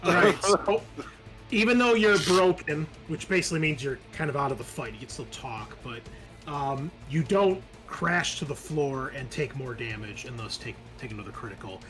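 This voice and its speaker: A man's voice: mid-range voice, moderate pace, a bit bright. A man working as game master, narrating a tabletop roleplaying game and explaining the rules in an informal, sweary way. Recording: music playing behind the voice. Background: background music.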